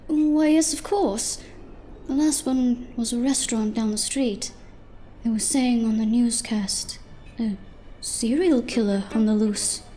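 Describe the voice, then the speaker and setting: A woman's voice: on the high side, a little slow, mellow, a little hiss or hum, close to an everyday voice. A woman playing a polite, uneasy owner of a small diner in an audio drama. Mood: uneasy, guarded. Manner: polite, hesitant.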